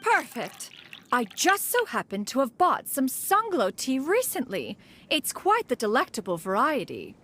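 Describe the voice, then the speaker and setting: A woman's voice: high-pitched, huge pitch swings, a little slow, a bit bright, slight background noise, heavily acted. A woman voicing a grand, theatrical immortal master with formal speech in a video game. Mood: stagey, scheming. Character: haughty, scheming female immortal master feigning polite chat. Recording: faint music behind.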